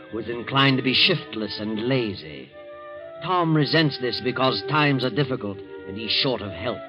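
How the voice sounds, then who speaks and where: A man playing a sinister, all-knowing storyteller who walks by night, narrating a 1940s radio suspense show in a deliberate, portentous manner. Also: mid-range voice, natural voice. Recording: muffled vintage 1940s radio recording. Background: music.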